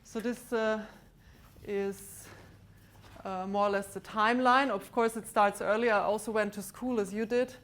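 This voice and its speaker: A woman giving a maths lecture to students in a large lecture theatre, pausing often with ums and restarts. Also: mid-range voice, fairly even intonation. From Austria. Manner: hesitant, many ums and restarts, walks about with a pointer. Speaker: mathematics lecturer.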